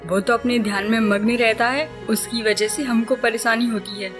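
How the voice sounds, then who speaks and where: A woman's voice: on the high side, fairly even intonation, moderate pace, close to an everyday voice. A woman voicing a cruel, resentful wife in a cartoon tale, scornful and snappish. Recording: music clearly behind the voice.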